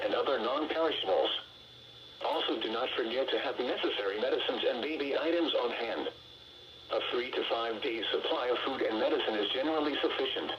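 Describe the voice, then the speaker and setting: A man reading a scripted public safety announcement as a weather radio announcer, in a measured, impersonal synthesized delivery. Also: mid-range voice, dull audio. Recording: noisy weather radio broadcast, heavy static.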